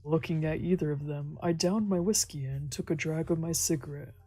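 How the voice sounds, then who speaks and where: A woman's voice: sits low, steady tone, a little fast, dark tone, no room sound, faint music behind. A woman streamer reading a visual novel aloud as dramatic narration. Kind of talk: dramatic read-along narration with character dialogue.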